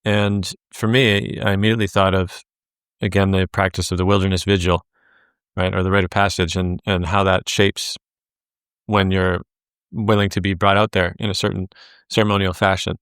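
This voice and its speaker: A male podcast host with a smooth, resonant voice that sits a little low. His pitch stays flat and even as he builds long, searching questions padded with fillers. The recording is dry and close. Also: normal speed, no echo. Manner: warm, affirming questions with many fillers. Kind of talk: interview conversation.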